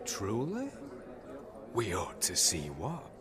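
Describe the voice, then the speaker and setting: An actor playing a smug, haughty art connoisseur in a video game, florid and condescending. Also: male voice, lowish voice, huge pitch swings, slow, a crowd in the background, full character voice. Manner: pompous, condescending.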